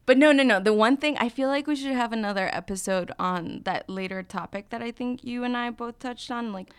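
A woman's voice: on the high side, fairly quick, clear, resonant tone. A woman co-hosting a podcast, chatting casually and laughing with her co-host.

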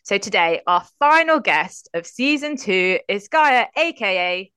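A woman's voice: mid-range voice, sing-song, a little slow, bright, forceful tone, smooth, no room sound. A woman hosting a podcast, introducing her guest with enthusiasm. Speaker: podcast host.

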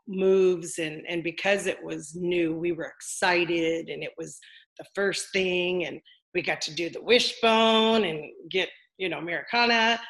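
An older woman with a deep, low-set voice and a gravelly edge. She talks in a loose, rambling way, full of fillers and restarts. She sounds like a guest easing into a casual interview. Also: fairly bright tone. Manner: chatty, rambling, many fillers. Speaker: former wrestler, interview guest.

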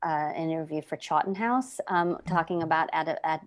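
A middle-aged woman, an actor and playwright, talking thoughtfully as a podcast guest with many pauses and restarts. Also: low-pitched, no echo. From United States.

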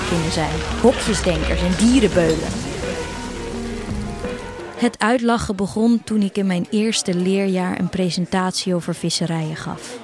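A woman's voice: mid-range voice, close to an everyday voice. Earnest schoolgirl narrator over music woman acting. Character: earnest schoolgirl, proud daughter of a fish farmer. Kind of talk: first-person narration in a radio play.

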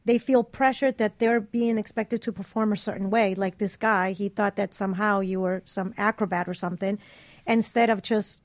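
A woman's voice: mid-range voice, steady tone, moderate pace, no echo. A woman co-hosting a radio talk show, giving her opinions in blunt, casual chat. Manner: blunt, explicit.

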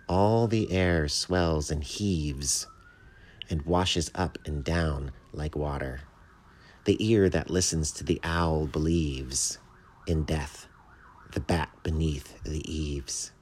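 A man's voice: rumbling low, full character voice. A man voicing a shy, earnest little bat who writes poems, tentative and naive, in a storybook reading. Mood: hushed, solemn. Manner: tentative, naive, easily bewildered.

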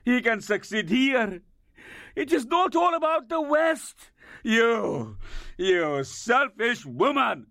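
An embittered middle-aged husband in an audio drama. His voice is very high for a man and forceful, swinging widely as he speaks in slow, accusing, emphatic phrases. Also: sing-song, full character voice. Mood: furious, accusing.

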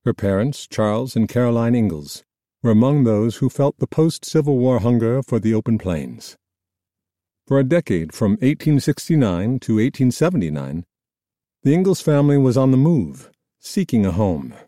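A man reading scripted nonfiction narration in a measured, formal storytelling manner. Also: medium pitch, sing-song, dark tone, no room sound, studio quality. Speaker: audiobook narrator.

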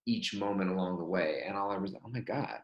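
A man's voice: sits low, quick pace, roomy sound, highs cut off. A man chatting casually and questioning himself, with lots of fillers and restarts. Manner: animated self-questioning, many likes and restarts. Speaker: group member. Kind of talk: casual video-call chat.

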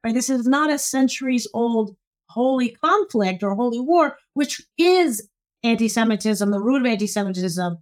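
Female law professor from Egypt, guest; measured, emphatic, slightly high voice. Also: lively intonation. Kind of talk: interview, analytical explanation.

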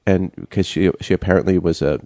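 A young man co-hosting a casual chat show, talking haltingly with many fillers and restarts. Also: low-pitched, fairly even intonation, creaky, warm tone, dry recording, clean studio sound. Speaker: podcast co-host.

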